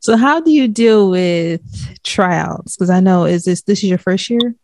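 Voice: mid-range voice, animated, measured pace, darkish tone, no echo. An interviewer and former player who asks loose, meandering questions in a casual way.

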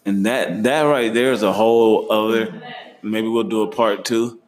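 A young man hosting a casual travel chat, relaxed and slangy, laughing easily. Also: on the low side, moderate pace. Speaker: host.

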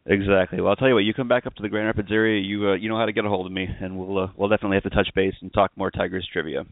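A man's voice: deep voice, steady tone, rapid-fire, no echo, phone-quality sound. A man hosting a podcast in a casual, chatty sports style. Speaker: podcast host.

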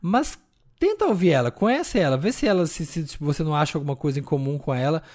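Chatty man, slightly high voice. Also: fast. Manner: animated, chatty, frequent fillers. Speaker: podcast co-host. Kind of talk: casual chat.

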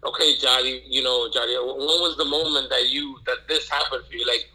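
A man with a very bright, forceful voice talks in a casual interview. His pitch sits in the middle, and he is earnest and emphatic, circling back over phrases and asking rhetorical questions to drive his point. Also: fairly even intonation, fairly quick, poor audio. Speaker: podcast guest, streetwear entrepreneur.

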